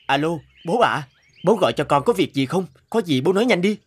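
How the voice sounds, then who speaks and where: An actor playing an impatient, proud adult son in an animated story, with an emphatic, scolding manner. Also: male voice, a little high, fairly quick, close to an everyday voice. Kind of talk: animated moral story dubbing.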